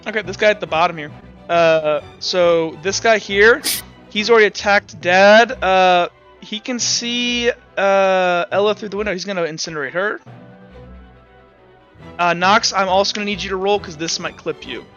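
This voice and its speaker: A man's voice: high-pitched, normal speed, a bit bright. A young man narrating as game master of a tabletop game, rambling with lots of "like" fillers. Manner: rambling, heavy use of "like". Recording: dull lo-fi sound with faint music.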